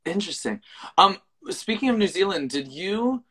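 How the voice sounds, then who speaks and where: Bright, chatty podcast co-host. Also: medium pitch, very animated, normal speed, breathy edge. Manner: easygoing, chatty interviewer. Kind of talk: casual interview.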